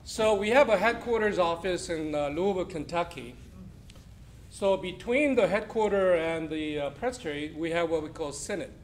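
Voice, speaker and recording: A man from South Korea, a church official giving a loud, chatty talk to a congregation in a church hall. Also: high voice, normal speed. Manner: loud, projecting, chatty with the audience. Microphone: weak room microphone.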